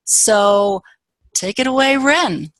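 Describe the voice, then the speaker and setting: A woman from northern Wisconsin hosting an online author panel, talking in a halting way with many fillers. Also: medium pitch, a bit bright, dry recording, low-quality recording. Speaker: panel host. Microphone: video call.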